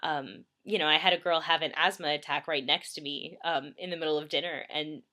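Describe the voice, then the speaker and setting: Chatty young woman, bright, a bit congested. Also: sits low, fairly even intonation, brisk. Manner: chatty, many ums, laughs often. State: a little congested. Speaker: vlogger. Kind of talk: casual vlog chat. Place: bedroom, in bed.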